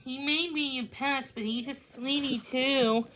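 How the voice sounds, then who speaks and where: A woman's voice: high voice, steady tone, a little slow, low-quality recording. Lady playing a kind worried auntie in a kids book, sincere, takes her time. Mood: resigned. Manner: gentle, sighing, sincere. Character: kindly, worried aunt who loves dogs.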